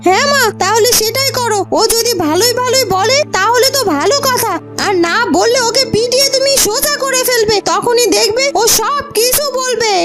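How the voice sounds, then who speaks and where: Spoiled village girl for cartoon: tiny sky-high voice, grasping, pushy, whiny. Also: moderate pace, loud music behind the voice. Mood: spiteful. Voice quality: very high, girlish voice. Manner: demanding, insistent, whiny. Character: greedy, bossy, spiteful young village girl. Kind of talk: children's fairy-tale cartoon dubbing.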